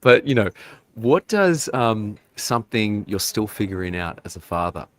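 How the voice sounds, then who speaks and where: A man hosting a live interview show, effusive and quick to praise his guest. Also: fairly low pitch, sing-song. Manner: enthusiastic, effusive, repeats praise. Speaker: podcast host.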